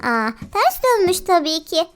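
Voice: extremely high pitch, sing-song, a little slow, fairly bright tone. A playful host of a children's game video with an exclamatory, giggly, cooing way of talking to cartoon animals. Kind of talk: playful children's gameplay commentary. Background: children's music.

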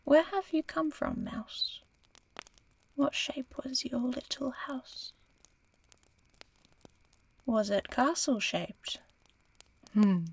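Woman voicing a slow, husky ancient she-dragon. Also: high-pitched, animated, voice pushed up, full character voice, low-quality recording. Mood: disdainful, probing. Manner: slow, deliberate, breathy, teasing. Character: ancient female dragon, proud, curious, menacing. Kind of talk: ASMR audio roleplay.

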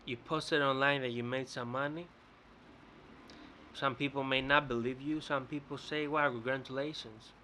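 A young man from Greece with a Greek accent, rambling in a casual vlog rant to his webcam in a bright indoor room, often repeating and restarting words. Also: medium pitch, steady tone, normal speed, a little hiss or hum. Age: young adult. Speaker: vlogger. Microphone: webcam close up.

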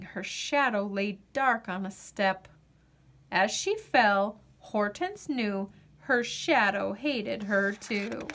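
Female picture-book reader: unhurried, animated delivery with dramatic pauses for young listeners. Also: medium pitch. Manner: dramatic, deliberate, with drawn-out pauses. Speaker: storyteller reading a picture book aloud. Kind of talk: expressive children's storybook narration.

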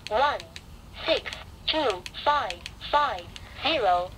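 A synthetic woman's voice reads digits one at a time as a radio keypad prompt. It is slightly high and extremely slow, coming from a small speaker with clear noise and clicks around it. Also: lively intonation. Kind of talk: automated digit readout. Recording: noisy handheld radio speaker.

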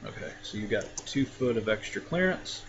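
A man casually talking through a software tutorial step by step, with frequent fillers. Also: on the low side, moderate pace. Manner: relaxed, talks through each step with fillers. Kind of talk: casual screen-recorded tutorial. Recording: dull lo-fi mic with clear background noise.

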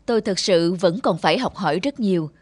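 A woman playing a gentle, humble mother and novelist in a moral cartoon, earnest, measured and patient. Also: lowish voice, lively intonation, slightly rough, no echo. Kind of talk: animated moral-tale dubbing. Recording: music behind the voice.

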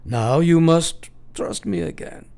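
A man's voice: a little high, animated, takes it slowly, vocal fry, darkish tone, exaggerated voice. A man playing an eccentric, commanding professor in a radio play, speaking in an earnest, formal and emphatic way. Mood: earnest counsel. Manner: emphatic, formal, oddly phrased, counselling.

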